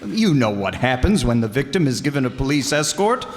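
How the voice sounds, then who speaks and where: An actor playing a cocky, wisecracking detective hero with a breezy, confident manner in an old-time radio drama. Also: male voice, medium pitch, very animated, fairly quick. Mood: urgent explaining. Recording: reverberant hall stage performance.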